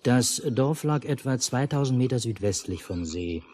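An actor playing a calm storyteller in a 1950s radio play, narrating a lakeside village tale in measured, literary phrases. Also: male voice, mid-range voice, natural voice. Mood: measured storytelling. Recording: noisy 1950s mono radio recording.

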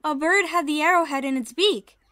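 Audio drama kid: supportive peacemaker, high voice. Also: female voice, brisk, bright, forceful tone, no room sound. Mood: conciliatory. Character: peacemaking child, one of questing twins.

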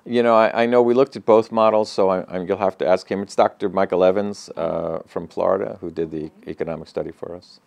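An older man with a very low voice gives steady, matter-of-fact answers, pausing on small fillers and restarting phrases. The sound is dry and close, picked up by a clip-on mic in an office. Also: gravelly, dry recording. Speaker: regional center president, interview guest. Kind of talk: business interview answers. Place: office with bookshelves, indoors. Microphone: lapel mic.

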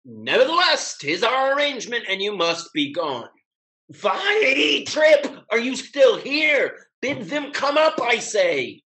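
A young man playing a merry, hard-drinking gentleman rake who declaims grandly in a classical stage comedy. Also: extremely high pitch, big pitch swings, slow, full character voice. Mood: earnest. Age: young adult. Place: home room, video call.

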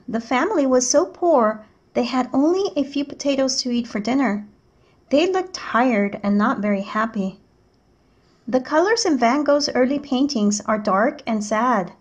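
A woman reading a picture book aloud as a teacher, in measured, clearly enunciated storytelling narration. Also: fairly high pitch, lo-fi sound.

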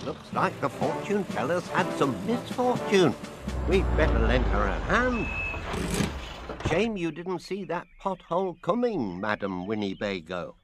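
A man voicing a genial, bumbling middle-aged inventor with a Northern English accent in an animated game. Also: on the high side, measured pace, over loud music. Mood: cheerful. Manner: eager, genial, exclamatory. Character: cheery, bumbling middle-aged male inventor and pest controller. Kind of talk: animated game cutscene acting.

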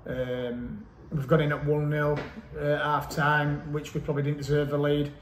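A man reviews a match in a steady, fairly level voice pitched a bit high for a man. He weighs each point plainly, with many hesitant ums. The small office around him sounds echoey, with a faint hiss underneath. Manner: measured, matter-of-fact, frequent hesitant ums. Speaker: football manager. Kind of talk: post-match interview. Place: small indoor club office. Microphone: camera mic at close range. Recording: echoey room, faint background noise.

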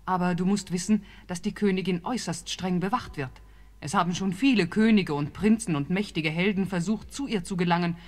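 An actress playing a calm, wise helper in a radio play, speaking in an earnest, warning way. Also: female voice, lowish voice, fairly quick, close to an everyday voice.

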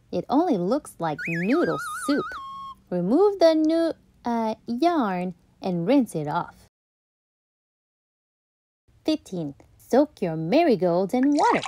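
A woman reading a children's picture book aloud as a storytime host, playful and deliberate, with dramatic emphasis. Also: a little high, warm tone, slight background noise. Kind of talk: expressive children's read-aloud narration.

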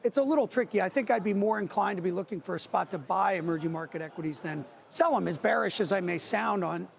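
A middle-aged man speaking as a market strategist on a TV news set, arguing points quickly with many figures. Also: sits high, gravelly. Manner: dense with figures, argumentative. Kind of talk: financial news analysis. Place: TV studio on a trading floor.

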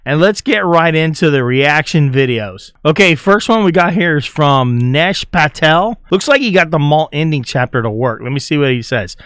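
Animated man hosting reaction videos. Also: on the high side, normal speed, hardly any pauses, no room sound, studio quality. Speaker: YouTube host. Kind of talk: casual reaction commentary over gameplay clips.

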